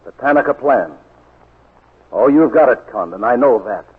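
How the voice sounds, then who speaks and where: A man's voice: medium pitch, expressive, moderate pace, slightly rough. A man playing a shady, scheming swindler in a 1940s radio drama, pushy, wheedling and indignant. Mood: accusing. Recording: muffled, worn 1940s radio recording.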